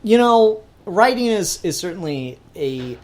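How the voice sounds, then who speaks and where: A man's voice: high-pitched, sing-song, a little slow, darkish tone. A man talking casually as a podcast co-host in a review discussion, in a hesitant, hedging, conversational way.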